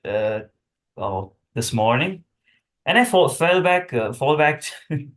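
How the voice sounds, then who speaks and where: A man's voice: fairly high pitch, lively intonation, slow pace, fairly bright tone. A young man from Nepal with a Nepali accent, chatting casually and often searching for words. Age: young adult. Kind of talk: casual chat.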